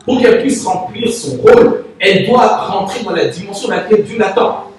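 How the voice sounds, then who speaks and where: A man preaching a sermon as pastor, from Congo, with Lingala as his first language, drawing the congregation into call-and-response and swinging between loud and soft. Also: high-pitched, fairly even intonation, normal speed. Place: large church hall. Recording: echoey church hall from far off, faint noise.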